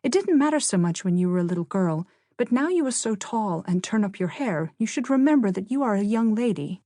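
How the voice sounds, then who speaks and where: A woman's voice: medium pitch, animated, brisk, warm tone, dry recording, voice pushed up, lightly acted. Woman doing a prim teen big sister, sweet but plaintive and a bit preachy, audiobook, dry sound. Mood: lecturing. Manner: lecturing, plaintive. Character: proper teenage eldest sister, sweet but complaining.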